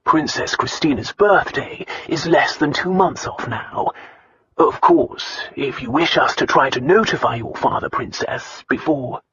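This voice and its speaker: A male solicitor played by a woman reader in a storybook drama, formal and measured. He lays things out in a matter-of-fact manner. The sound is slightly dull. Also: low-pitched, breathy edge, no echo, full character voice. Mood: deferential. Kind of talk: audiobook character acting.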